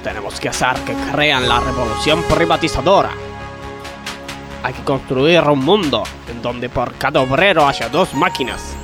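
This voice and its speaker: A man's voice: mid-range voice, moderate pace, music in the background, natural voice. An Argentine man playing a grandiose, slightly dim ringleader of factory workers in a comedic radio play, persuasive and conspiratorial.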